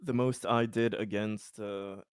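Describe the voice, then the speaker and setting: A man chatting casually as a game player, thinking aloud haltingly and swearing freely. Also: lowish voice, fairly even intonation, smooth, no echo, clean studio sound. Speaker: player in a tabletop roleplaying group. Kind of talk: casual chat.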